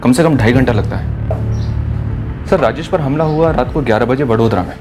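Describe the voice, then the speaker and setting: An actor playing a pressing, businesslike and sceptical police investigator in a TV crime drama. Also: male voice, medium pitch, normal speed, music in the background, close to an everyday voice. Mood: matter-of-fact.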